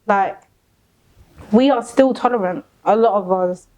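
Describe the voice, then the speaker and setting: A woman's voice: mid-range voice, a little slow, a crowd in the background, rough recording. A woman in her late twenties in a casual podcast debate, earnestly defending her view and talking over the men. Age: late 20s. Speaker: podcast panelist.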